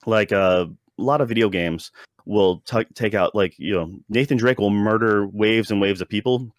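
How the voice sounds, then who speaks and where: A man with a fairly low voice chats as a podcast co-host giving a review. His delivery is hesitant and rambling, with frequent self-interruptions. The sound is dry, with little room around it. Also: moderate pace, no echo. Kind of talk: casual review chat.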